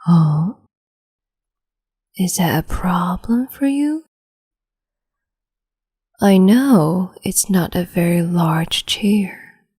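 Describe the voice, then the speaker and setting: A woman voicing a cruel, capricious empress in a whispered roleplay, slow, mocking and condescending, close to the mic. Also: on the low side, expressive, mellow, exaggerated voice. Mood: silky menace. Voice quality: whispery, breathy.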